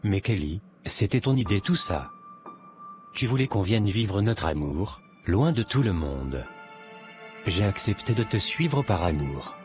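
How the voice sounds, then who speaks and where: Man acting a low-voiced earnest young son. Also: sing-song, measured pace, music in the background. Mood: hurt, reproachful.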